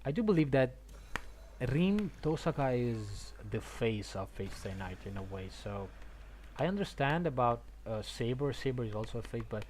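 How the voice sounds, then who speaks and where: Guy streaming a game, regular pitch, rambly stop-start chat, bit of background noise. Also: sing-song, a little mellow. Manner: halting, repetitive. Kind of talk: casual live stream chat, game reactions.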